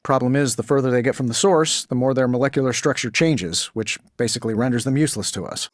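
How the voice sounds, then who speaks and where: An actor voicing a wisecracking, self-assured billionaire inventor superhero in a video game, with an American accent and glib, sarcastic banter. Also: male voice, medium pitch, quick pace, no echo, clean studio sound, natural voice. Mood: brisk, focused.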